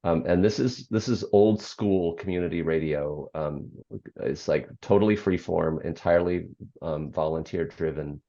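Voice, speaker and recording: Low, gravelly bass voice, reflective and unhurried. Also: dark tone. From United States. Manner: reflective, frequent ums and restarts. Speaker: guest, author and former radio program director. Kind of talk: interview conversation. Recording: slightly dull lo-fi audio.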